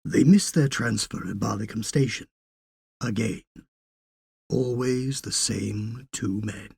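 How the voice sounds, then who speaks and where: A man reading a literary short story as a narrator, in a measured, dramatic storytelling manner. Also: lowish voice, vocal fry, darkish tone, husky, dry recording, studio quality. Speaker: audiobook narrator.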